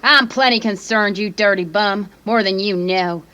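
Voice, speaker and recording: An actress playing a tough, flirtatious nightclub dame with sassy slang in a 1950s-style radio drama. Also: female voice, mid-range voice, normal speed, sharp tone, muffled recording, natural voice. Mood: indignant, scornful. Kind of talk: 1950s radio detective pastiche acting.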